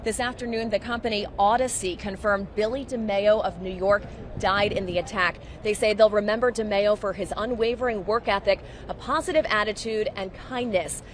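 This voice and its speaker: A woman reporting live for TV news from a city street. Her pitch is average for a woman, and there is light traffic and urban noise around her as she delivers the report. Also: steady tone, normal speed.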